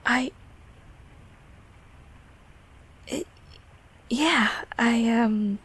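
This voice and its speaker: A woman's voice: on the high side, drawn-out words, fairly bright tone, husky, heavily acted. A woman voicing a nervous, kindly childhood closet creature in an audio roleplay, stammering and apologetic as she speaks to the listener. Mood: hesitant, confiding. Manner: flustered, stammering, repeating words.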